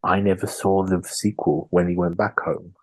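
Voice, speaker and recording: A man's voice: low voice, level tone, moderate pace, slightly rough, poor audio. A man co-hosting a comedy podcast, answering quiz questions with dry, wry quips. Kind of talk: casual comedic chat.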